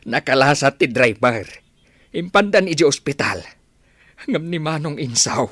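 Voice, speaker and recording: A performer acts a sombre village man in a radio drama. The delivery is solemn and consoling, with lively swings of pitch and a dry sound. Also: measured pace, no echo. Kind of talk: Ilocano radio drama acting.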